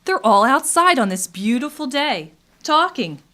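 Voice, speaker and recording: An actress playing an enthusiastic town guide in scripted radio theatre, with a theatrical delivery. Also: female voice, high-pitched, very animated, measured pace, sharp tone, exaggerated voice. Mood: cheerful, curious. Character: enthusiastic woman guide strolling through a river town. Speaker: actor in a community radio play.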